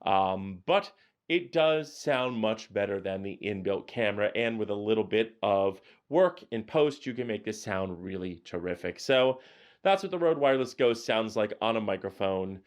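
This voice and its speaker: Enthusiastic deep-voiced man reviewing gear. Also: animated, normal speed. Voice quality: deep booming voice. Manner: enthusiastic, chatty with many fillers. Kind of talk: casual product review. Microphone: changing mics: built-in camera mic, lapel and wireless mic.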